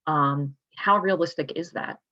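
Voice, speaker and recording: A woman moderating an academic panel, putting measured, formal questions to the speakers. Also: deep voice, pushed and bright. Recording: dull, lo-fi sound.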